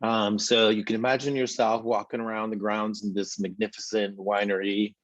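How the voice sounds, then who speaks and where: A man's voice: on the low side, level tone, normal speed, no echo, highs cut off. A middle-aged man from Spain with a Spanish accent, presenting a virtual slideshow tour as a company chief, with many fillers and restarts.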